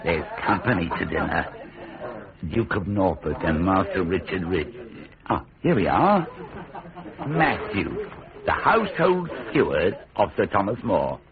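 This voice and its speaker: An actor playing a wry, familiar steward who addresses the audience directly in a radio drama. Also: male voice, deep voice. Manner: droll, theatrical direct address. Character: wry, familiar common-man narrator and household steward butler. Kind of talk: British radio drama acting. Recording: tinny band-limited radio recording.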